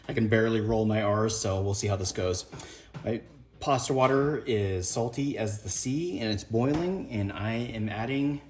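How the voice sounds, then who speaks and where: A man's voice: on the low side, moderate pace, reverberant, slight background noise. A man chatting casually as he cooks in his home kitchen, laid-back and swearing now and then. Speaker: home cook vlogger. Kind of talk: casual cooking narration.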